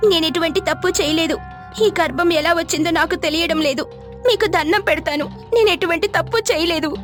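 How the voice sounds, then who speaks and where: Storybook young widow, acted by a female narrator: very high voice, tearful, pleading, wronged, faint music behind. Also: a little fast.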